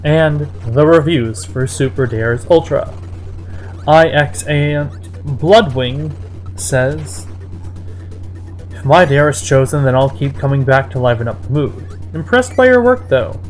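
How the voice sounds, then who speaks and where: A mid-pitched reader delivers a mocking, dramatic read-aloud. The delivery slips into exasperated commentary, with music playing behind the voice. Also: animated, slow pace. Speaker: host reading fan fiction aloud.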